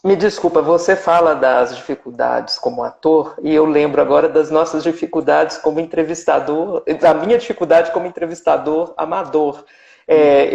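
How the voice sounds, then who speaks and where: Echoey young man, slightly creaky. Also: a little high, darkish tone. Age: young adult. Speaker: amateur interviewer, live stream host. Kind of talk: polite conversational interviewing. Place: online live video call. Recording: echoey, dull live stream audio.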